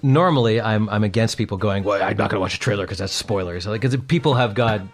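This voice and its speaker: Man, podcast co-host, fast talker, lowish voice, casual opinionated chat. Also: faint music behind.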